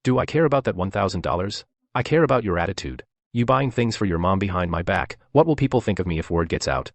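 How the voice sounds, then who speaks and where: A man's voice: low-pitched, animated, fast, no echo. A man playing a bossy, condescending, self-righteous husband and eldest son in a dubbed family radio drama. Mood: indignant.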